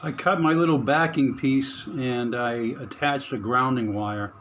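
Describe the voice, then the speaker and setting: A middle-aged man at a mid pitch talks casually through his hobby radio repair. He halts often, fills gaps and chuckles softly to himself. Faint room sound sits behind him in the small spare room. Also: dull audio, a little hiss or hum. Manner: halting, many fillers, chuckles to himself. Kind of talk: casual hands-on narration.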